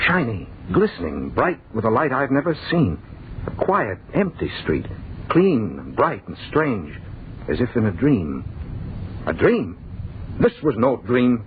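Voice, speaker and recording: Bewildered man in a strange world, halting and dramatic, very animated pitch, narrow vintage radio sound with faint noise. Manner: dazed, halting, dramatic, echoing words in disbelief. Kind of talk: old-time radio horror drama acting and narration. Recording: muffled, noisy old radio recording.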